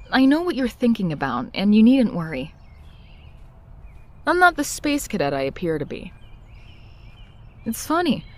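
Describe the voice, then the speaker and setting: A woman playing a young woman confiding her painful past in an expressive, reflective comic dub narration. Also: fairly high pitch. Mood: reassuring, wistful.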